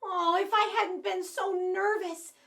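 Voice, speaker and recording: A woman voicing a small, shy but excitable puppet character in a children's show, gushing and earnest. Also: very high-pitched, unhurried, gravelly, full character voice. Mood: excited, wistful.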